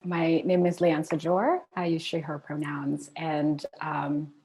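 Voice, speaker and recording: Young woman activist, relaxed reflective talk. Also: deep voice, slow pace. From Philippines. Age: young adult. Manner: thoughtful, relaxed, with frequent fillers. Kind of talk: conversational self-introduction.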